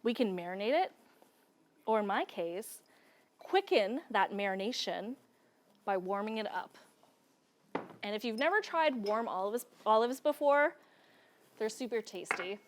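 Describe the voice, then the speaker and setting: A woman's voice: medium pitch, expressive, measured pace, pauses often, slight background noise. A young woman from Serbia hosting a cooking show, chatting casually, laughing often and drifting into family stories while she cooks on a studio kitchen set.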